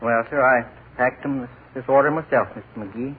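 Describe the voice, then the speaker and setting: An actor playing a deadpan, polite former finance-company man now delivering groceries, in a 1940s radio comedy. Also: medium pitch, normal speed, husky, natural voice. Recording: hissy 1940s radio broadcast, lo-fi.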